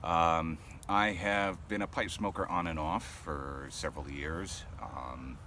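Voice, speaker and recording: A man's voice: a bass voice, steady tone, takes it slowly, sharp tone. A man in his thirties from Rhode Island with a neutral voice, chatting casually to camera and rambling with lots of ums and uhs. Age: 30s. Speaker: pipe-smoking vlogger. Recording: clear traffic noise outdoors.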